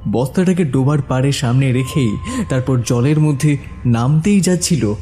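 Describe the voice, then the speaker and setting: A man's voice: medium pitch, normal speed, dark tone, soft background music. A man narrating a scripted horror story as its storyteller.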